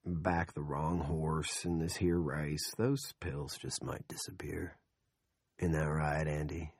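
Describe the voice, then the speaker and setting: A man doing a low, folksy bully. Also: steady tone, normal speed, no room sound, clean studio sound. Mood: menacing. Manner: low, folksy, quietly threatening. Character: menacing jovial middle-aged male town boss.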